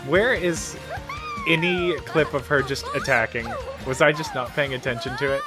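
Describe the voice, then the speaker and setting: Animated gaming reactor over loud music. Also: mid-range voice, normal speed. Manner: animated, exclaiming, slangy. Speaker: reaction video creator. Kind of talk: casual excited gaming reaction commentary. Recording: music playing behind the voice. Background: video game trailer music.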